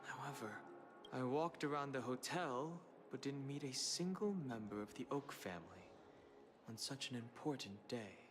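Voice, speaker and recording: A man voicing a serious, cautious young adventurer in a video game, measured, formal and earnest. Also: mid-range voice, expressive, natural voice. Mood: concerned. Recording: game music under voice.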